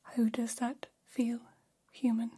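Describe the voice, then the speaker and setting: A gentle, curious alien played by a woman whispers in a soft ASMR roleplay. The delivery is extremely slow and monotone, with formal, careful wording and considerate calm. A faint hiss sits behind the voice. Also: fairly high pitch. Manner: slow, deliberate whisper with stilted formal phrasing.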